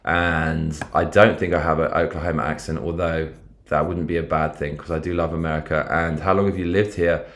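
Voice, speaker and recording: A man's voice: extremely low pitch, little pitch movement, brisk, fairly bright tone. A man in his forties with a London accent, hosting a rambling, profane political livestream and reading out chat comments. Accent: London English. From London, England. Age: 40s.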